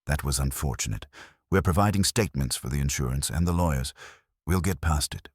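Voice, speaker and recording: A man's voice: extremely low pitch, a little fast, husky, dry recording, clean studio sound. A man voicing a smug bakery heir in his thirties, curt, entitled and polished, in a detective story. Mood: smug, evasive.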